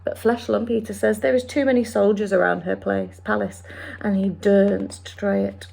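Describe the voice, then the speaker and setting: A woman's voice: mid-range voice, steady tone, normal speed, creaky, darkish tone. A woman voicing a big, kindly male giant who talks in mangled grammar and made-up words. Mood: confiding. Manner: ungrammatical, invented words.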